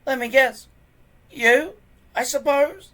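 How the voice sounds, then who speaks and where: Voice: high voice, slow, sharp tone, pitched above the performer's own voice, heavily acted. Someone reading a storybook aloud, voicing an indignant young Viking boy who challenges others sarcastically. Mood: indignant, sarcastic.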